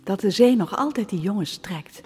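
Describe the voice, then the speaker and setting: A woman's voice: mid-range voice, lively intonation, moderate pace, darkish tone, husky, big-room sound, soft background music. An actress playing a wry, bored lady of the capital in a radio drama. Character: bored, wry upper-class city lady.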